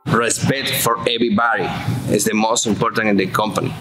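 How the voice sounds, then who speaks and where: A man's voice: lowish voice, flat delivery, brisk, bright, forceful tone, faint music behind, low-quality recording. A middle-aged man from Venezuela, speaking earnestly about respect in a company interview. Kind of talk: corporate interview testimonial.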